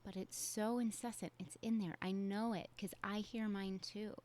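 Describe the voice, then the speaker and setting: A woman's voice: mid-range voice, steady tone, moderate pace, darkish tone. A young adult woman, hosting a solo podcast in a candid, conversational way with casual fillers. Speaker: podcast host.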